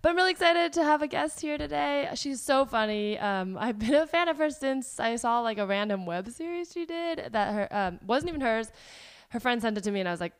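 A woman hosting a podcast in a casual, chatty way, her voice hoarse and partly lost after travel, with many fillers. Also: on the high side, expressive, a little fast, hardly any pauses. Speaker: podcast host.

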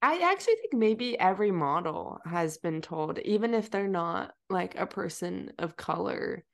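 A woman's voice: on the low side, animated, moderate pace, vocal fry. A young woman hosting a podcast, chatting casually with lots of fillers and restarts. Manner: chatty, many fillers and restarts, laughs often. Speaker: podcast host. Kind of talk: casual interview chat.

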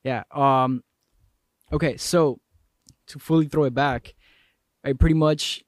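A man's voice: medium pitch, steady tone, takes it slowly, dry recording. Young male podcast guest, native Spanish speaker, easygoing slangy storyteller, dry room. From Colombia. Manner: laid-back slangy storytelling with many fillers. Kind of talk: casual podcast chat.

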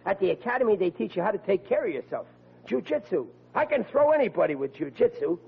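An actor playing a bumbling, excitable young rookie cop in 1940s radio comedy style, stammering in ungrammatical everyman talk. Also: high voice, fast, creaky, slightly rough, full character voice. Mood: eager, hopeful. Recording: tinny old radio recording.

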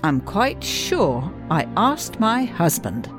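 A concerned wife in a science fiction audio drama. Her voice is a little low, gentle and questioning, with a domestic manner. Faint music plays behind her. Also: big pitch swings, slow pace.

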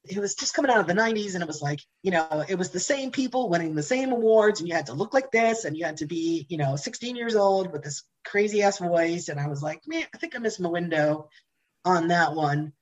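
A woman guest in a casual interview, telling candid, animated stories, swearing casually and laughing often. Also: mid-range voice, moderate pace, poor audio. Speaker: interview guest, blues jam host.

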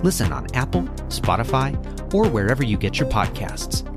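A man delivers scripted promotional narration as a podcast host. His voice sits at a middling pitch, with music playing clearly behind him. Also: very animated, normal speed.

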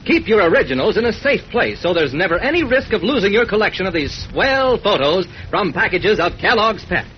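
A man's voice: sits high, big pitch swings, a little fast. A man with an American accent acting as co-announcer in a scripted 1940s radio commercial. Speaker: advertising co-announcer. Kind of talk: 1940s radio advertising pitch. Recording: muffled, noisy old radio recording.

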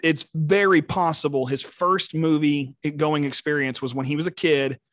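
A man with a Texan accent, co-hosting a casual podcast chat, excitable and rambling, often laughing. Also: fairly high pitch, normal speed, no echo. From Texas. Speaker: podcast co-host.

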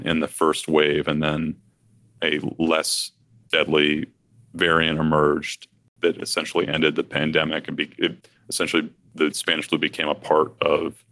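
Gravelly, very deep, flat male advisor. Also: measured pace, no echo. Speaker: financial advisor. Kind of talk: conversational finance discussion.

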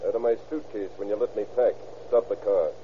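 A man's voice: fairly low pitch, steady tone, fairly quick, slight background noise, natural voice. An actor playing a cocky, sardonic car thief who talks in laconic, slangy tough-guy style in an old radio crime drama. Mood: cold, menacing. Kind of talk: 1930s-40s radio crime drama acting. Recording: tinny, band-limited old radio broadcast.

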